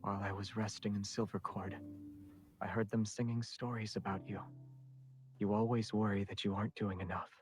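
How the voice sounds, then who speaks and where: An actor playing a gentle, devoted young man in a video game, speaking softly and subdued. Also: fairly low pitch, flat delivery, normal speed, soft background music, close to an everyday voice. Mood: tender, wistful. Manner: soft, subdued.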